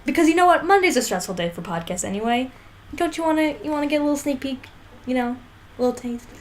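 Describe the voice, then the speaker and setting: A woman's voice: sits high, lively intonation, fast talker. A young woman co-hosting a podcast, chatting casually and irreverently with slang and free swearing. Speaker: podcast co-host.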